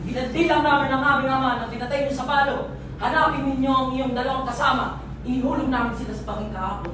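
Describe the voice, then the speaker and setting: A woman's voice: high voice, fairly even intonation, quick pace, natural voice. A woman playing a defiant captured rebel in a stage play. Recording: echoey hall, far mic, background noise.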